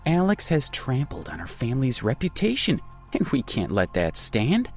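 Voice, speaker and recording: A man's voice: medium pitch, wildly swooping pitch, moderate pace, soft background music, pitched above the performer's own voice. A man voicing a ruthless, arrogant young male heir who talks in a cold, dismissive way. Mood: cold, scornful.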